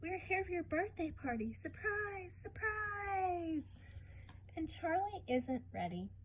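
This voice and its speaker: Expressive woman reading aloud, noisy recording. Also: extremely high pitch, slow pace. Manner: expressive storytelling, often asking the listener questions. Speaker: children's Bible lesson teacher reading aloud. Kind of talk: picture-book read-aloud and informal teaching to children.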